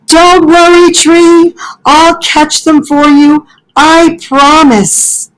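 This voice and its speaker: A woman's voice: extremely high pitch, flat delivery, very slow, a bit bright, pitched above the performer's own voice, heavily acted, low-quality recording. A woman voicing an earnest, anxious young animal from a storybook, pleading and emphatic in the way of talking. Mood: earnest promise.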